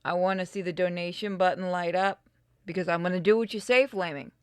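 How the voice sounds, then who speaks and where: A woman's voice: lowish voice, fairly even intonation, brisk, smooth, no echo, studio quality. A woman giving casual, blunt, bantering gameplay commentary as a streamer. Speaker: game streamer.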